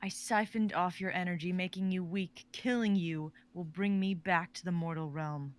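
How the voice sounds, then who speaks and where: A man voicing a scornful wraith queen, high and hushed. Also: steady tone, normal speed, clean tone, lightly acted. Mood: mocking. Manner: scornful, looks down on others. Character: haughty undead lady of the house, queen of wraiths.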